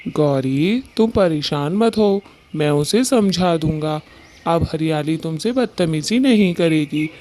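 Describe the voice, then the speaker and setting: Woman as a mellow-voiced male cartoon bird husband. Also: low voice, animated, slow pace. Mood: placating. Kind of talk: Hindi cartoon story dialogue.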